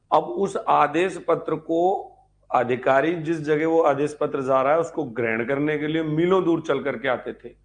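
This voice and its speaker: A man teaching an exam-prep history lecture, explaining step by step, repeating phrases as if dictating and asking check questions. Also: a little high.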